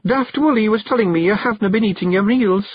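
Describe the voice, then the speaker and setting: High-pitched Scottish fairy matriarch. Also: male voice, very animated, brisk, husky, dull audio, heavily acted. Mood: careful concern. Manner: sharp and bossy, pleading when worried. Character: tiny fairy clan matriarch wife, commanding yet tender.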